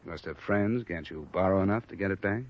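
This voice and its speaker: A man's voice: low-pitched, fast, natural voice. A man acting a tough but fair insurance investigator and narrator in a 1950s radio detective drama, terse and matter-of-fact with an American accent. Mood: probing, firm. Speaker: lead actor and narrator. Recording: dull restored old radio recording, music.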